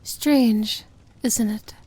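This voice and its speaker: Woman acting slow, breathy, seductive evil princess. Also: on the high side, no echo, heavily acted. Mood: teasing, commanding. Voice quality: soft, breathy, often whispered. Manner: slow, intimate, gently commanding. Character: seductive, tender, commanding evil demon princess. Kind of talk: ASMR romantic fantasy roleplay.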